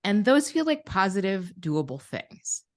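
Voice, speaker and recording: A woman's voice: medium pitch, very animated, a little slow. A woman co-hosting a podcast, talking casually and reflectively with her friend. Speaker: podcast co-host.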